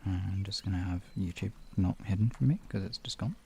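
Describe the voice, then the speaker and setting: Male relaxation streamer, deep soft-toned voice with plenty of fry, informal and gently instructing. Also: a little fast, slight background noise. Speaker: streamer, guided relaxation host. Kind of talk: casual chat moving into guided relaxation and hypnosis instruction.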